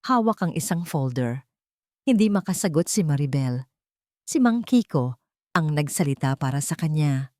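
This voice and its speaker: A woman's voice: low-pitched, expressive, normal speed, pauses often, darkish tone, no room sound, clean studio sound. A woman narrating an audiobook, reading a scripted love story in a dramatic storytelling style.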